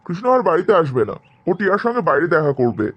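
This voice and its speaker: A man's voice: a little high, sing-song, racing, lo-fi sound. A man playing a sarcastic, exasperated middle-aged husband and father in a cartoon drama, grumbling and arguing. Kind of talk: Bengali cartoon family drama acting.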